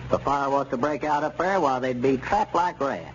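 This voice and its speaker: A man's voice: medium pitch, moderate pace, lightly acted. An actor playing a righteous, insistent small-town man with a rural Southern US accent, folksy and urgent, in a 1930s radio serial. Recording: muffled, hissy 1930s radio recording.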